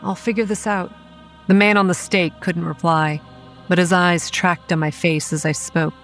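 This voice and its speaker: A woman's voice: on the low side, steady tone, normal speed, fairly bright tone, soft background music. A woman playing a guarded, hot-tempered young campground manager who narrates and speaks in a horror audio drama, earnest with a sardonic edge. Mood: troubled, tender. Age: young adult. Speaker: narrator and lead character.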